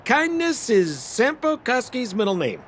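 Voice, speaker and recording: A man's voice: very high-pitched, wildly swooping pitch, unhurried, a bit bright, a little hiss or hum, exaggerated voice. An actor playing a shifty, chatty con man in a video game, glib and theatrical. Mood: smooth, evasive. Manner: glib, dramatic.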